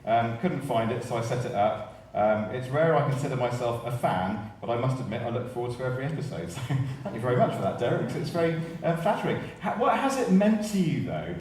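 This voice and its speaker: A man's voice: medium pitch, very animated, a little fast, a little mellow. A man hosting a live radio show, chatty and jovial, with a digressive way of talking. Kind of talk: live presenting and interviewing before an audience. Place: large radio theatre with live audience. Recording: very echoey theatre, far from mic, faint noise.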